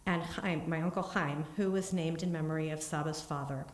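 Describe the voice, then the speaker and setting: A woman giving an earnest, steady talk in a synagogue, then answering questions, with small restarts. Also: sits low, moderate pace. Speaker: congregant giving a family history talk. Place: synagogue sanctuary. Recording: echoey room.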